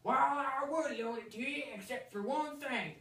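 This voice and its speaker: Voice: high-pitched, normal speed, fairly bright tone, echoey room, recorded from a distance, pitched above the performer's own voice. A performer voicing a folksy, blunt backwoods man with a rustic drawl, in a dramatic story reading. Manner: folksy drawl, rustic dropped-word speech.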